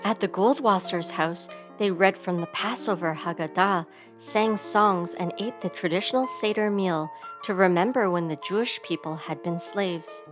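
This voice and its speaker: A woman reading a children's story aloud expressively, giving sound words theatrical emphasis, with instrumental music behind her. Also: mid-range voice. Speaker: storybook reader.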